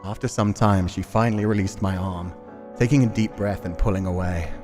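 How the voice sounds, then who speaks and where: A man's voice: low-pitched, fairly even intonation, brisk, a little mellow. A man musing aloud and reading narration as he plays through a visual novel. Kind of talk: casual game commentary and narrated reading. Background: music.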